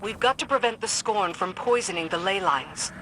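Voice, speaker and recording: An actress playing a dutiful, no-nonsense military commander in a game, giving brisk, clipped mission dialogue. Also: female voice, mid-range voice, background noise, close to an everyday voice. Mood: urgent, stern.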